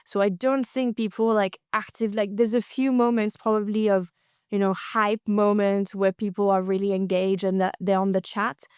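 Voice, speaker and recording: A woman's voice: medium pitch, level tone, moderate pace, darkish tone, smooth, no room sound, clean studio sound. A woman co-hosting a casual podcast, chatting loosely with lots of fillers and restarts. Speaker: co-host.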